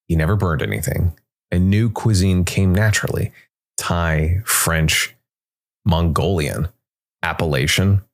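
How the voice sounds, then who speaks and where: A man reads scripted dark fantasy narration in a measured way, with dramatic pauses between short phrases. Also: sits low. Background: background music.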